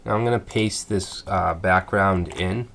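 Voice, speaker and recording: A man with a cold, his voice low and a bit stuffed up, talks through a coding screencast at an unhurried pace. He thinks aloud as he goes, filling pauses with ums and small restarts. Faint keyboard typing sits under his voice. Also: creaky, fairly bright tone, a little hiss or hum. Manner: methodical, thinking aloud with fillers. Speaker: coding tutorial instructor.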